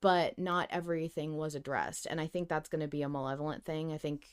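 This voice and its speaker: A woman's voice: low-pitched, fairly even intonation, brisk, smooth. A woman co-hosting a podcast, talking casually about a fiction show and reasoning things through in a wry, pragmatic way. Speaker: podcast co-host.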